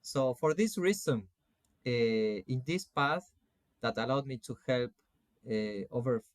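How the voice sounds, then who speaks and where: A man in his forties from Argentina with an Argentine Spanish accent gives an informal online lecture as a coach, phrasing things in a halting, deliberate way. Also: mid-range voice, no echo. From Salta, Argentina. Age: 40s. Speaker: spiritual coach teaching an online course.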